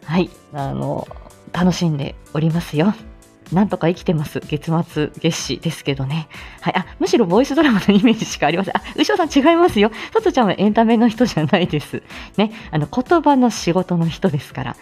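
Lively middle-aged woman chatting over soft music. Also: on the low side, fairly quick, husky. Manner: chatty, giggly, polite, speaks to listeners by turns. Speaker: host of a live audio show; speech-language therapist. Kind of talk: casual morning livestream chat with announcements.

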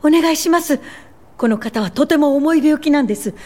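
A woman's voice: high voice, fairly quick, darkish tone, natural voice. A woman playing a determined, compassionate pioneer social worker, earnest and polite, in a radio drama. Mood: pleading.

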